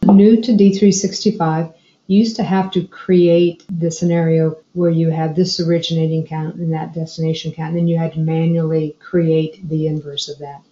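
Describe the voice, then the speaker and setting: A woman explaining software step by step as a trainer narrating a screencast tutorial. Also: deep voice, flat delivery, moderate pace. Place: indoor room, screen recording voice-over. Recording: dull, roomy sound.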